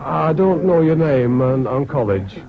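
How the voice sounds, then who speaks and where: An actor playing an earnest, possessive young student companion in a radio drama. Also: male voice, on the high side, animated, a little slow, vocal fry. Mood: earnest, protective. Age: young adult. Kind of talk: 1970s British radio drama acting. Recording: muffled old radio, crowd murmur behind.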